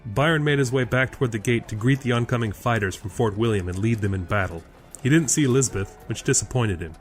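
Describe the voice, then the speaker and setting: A man's voice: medium pitch, fast, soft background music. A man reading scripted narration for a fantasy audio drama.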